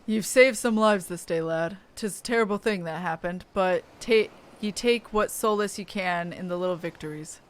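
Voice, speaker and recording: A woman voicing a gruff, weary male navy sailor who talks in blunt seafaring dialect. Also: lowish voice, normal speed, few pauses, lightly acted. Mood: bitter, consoling.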